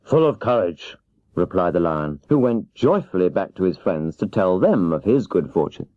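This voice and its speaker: Low-voiced man narrating a children's story. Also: big pitch swings, normal speed, mellow, no room sound. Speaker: audiobook narrator. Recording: dull, lo-fi cassette transfer.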